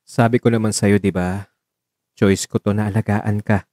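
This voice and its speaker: A man acting as a devoted, caring partner in an audio drama, earnest, coaxing and gently scolding with emotion. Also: lowish voice, level tone, moderate pace, no room sound, studio quality, close to an everyday voice. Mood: fond, teasing.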